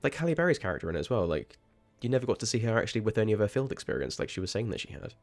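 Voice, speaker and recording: A man's voice: lowish voice, big pitch swings, racing, creaky, faint music behind. A man chatting casually while streaming a game, rambling and sweary, with sudden startled outbursts. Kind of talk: casual livestream chat.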